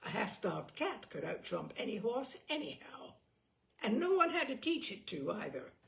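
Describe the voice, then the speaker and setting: A woman reading literary fiction aloud as an audiobook narrator, in a measured manner with the odd stumble. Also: mid-range voice, big pitch swings, vocal fry, fairly bright tone, slight background noise. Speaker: audiobook reader.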